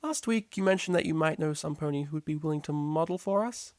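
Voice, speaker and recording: A man's voice: on the high side, fast talker, dry recording, studio quality, pitched above the performer's own voice, lightly acted. A man voicing a kindly, politely chatty female pony art teacher in a story reading. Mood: friendly.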